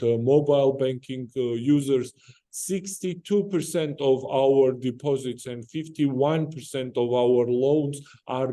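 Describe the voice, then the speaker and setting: A male executive presents results on an investor conference call. His voice is dark and mellow at a medium pitch. He speaks formally and in a measured way, pausing hesitantly at times.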